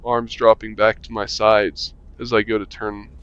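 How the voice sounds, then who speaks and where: A man reading a visual novel aloud as a gamer, voicing narration and the player in a relaxed, wry way and muttering while he plays. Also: on the low side, measured pace, clean tone, no echo. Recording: lo-fi mic with faint music.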